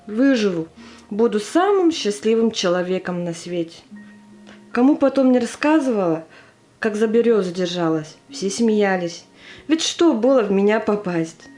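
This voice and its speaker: A woman's voice: medium pitch, expressive, normal speed, warm tone, soft background music, natural voice. A woman playing a weary but determined wartime surgeon, recalling the war in a confiding monologue for an amateur audio drama. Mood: frightened, then wry.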